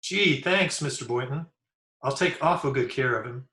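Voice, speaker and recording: A man's voice: medium pitch, normal speed, bright, forceful tone, natural voice. A man playing an eager, good-natured, slightly dim teenage schoolboy in an old-time radio comedy, folksy in manner, in an echoey room. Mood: eager, friendly. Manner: folksy, gee-whiz, simple. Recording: echoey room over remote call.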